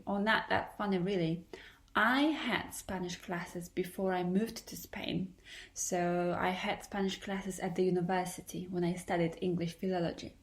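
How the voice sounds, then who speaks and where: A young adult woman with a Polish accent, an English teacher talking through her solo podcast in a careful, earnest and slightly formal way. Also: lowish voice.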